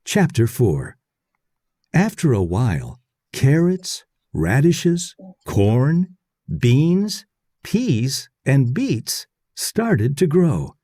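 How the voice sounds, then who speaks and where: A man reading a children's story aloud in short, deliberate, clearly separated phrases. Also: medium pitch, sing-song, dark tone, dry recording, studio quality. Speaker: read-aloud narrator.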